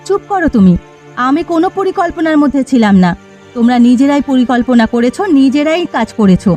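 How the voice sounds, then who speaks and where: A woman's voice: high voice, lively intonation, a little fast, dark tone, faint music behind. A woman playing an angry, nagging village woman in a fairy-tale cartoon, scolding sharply.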